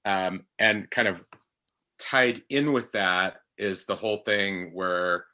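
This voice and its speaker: A man's voice: sits low, steady tone, slow, sharp tone. A man on a podcast panel talking casually, hesitating as he works through his thoughts. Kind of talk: casual discussion.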